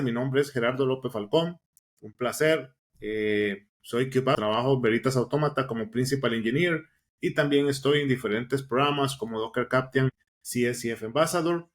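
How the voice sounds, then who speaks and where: A man hosting a podcast, welcoming listeners enthusiastically with frequent "eh" fillers. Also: medium pitch. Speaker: podcast host. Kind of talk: casual podcast chat.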